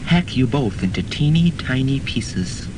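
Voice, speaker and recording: A man playing a measured, deadpan and formal figure in a student radio comedy drama. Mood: politely menacing. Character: story narrator doubling as politely menacing machete-wielding male psycho. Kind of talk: radio drama narration and acting. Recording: noisy student radio recording with rumble.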